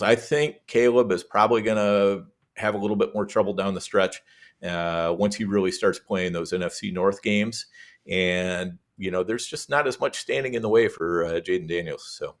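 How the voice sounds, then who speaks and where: A man speaking as a guest on a sports podcast, easygoing and full of ums and you-knows. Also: low voice. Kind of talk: casual sports podcast chat.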